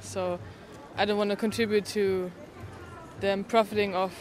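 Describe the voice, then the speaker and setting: A woman's voice: mid-range voice, level tone, normal speed, a little mellow. A young woman answering a street interviewer, giving her opinion earnestly with plenty of fillers. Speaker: passer-by interviewee. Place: city street outdoors. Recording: faint street noise outdoors.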